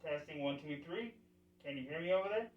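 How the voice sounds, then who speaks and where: A man's voice: fairly high pitch, animated, fairly quick, highs cut off. Man musing aloud at his workbench. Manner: musing, thinking aloud to himself. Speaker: hobbyist repairer presenting a video. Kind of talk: casual unscripted workbench commentary.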